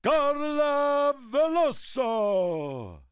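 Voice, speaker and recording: A man voicing a small, excitable, proud Italian car in a video game, with an Italian accent and theatrical broken English. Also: very high voice, very slow, no room sound, studio quality, full character voice. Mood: excited, proud. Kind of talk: animated video game character lines.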